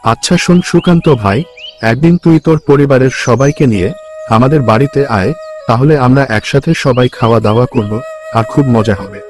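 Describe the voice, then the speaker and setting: A man's voice: mid-range voice, brisk, lightly acted. A man playing a poor, hardworking village farmer in a cartoon folk tale, speaking in an earnest, plain-spoken way. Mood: hopeful. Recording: music behind the voice.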